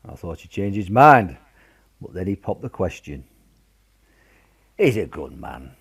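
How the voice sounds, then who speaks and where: A man plays a working-class British father in a wartime radio drama. His voice is lowish and husky, with very animated intonation. He talks bluntly and fondly, in everyday colloquial speech. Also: a little slow, exaggerated voice. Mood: hearty, insistent. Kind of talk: radio play acting.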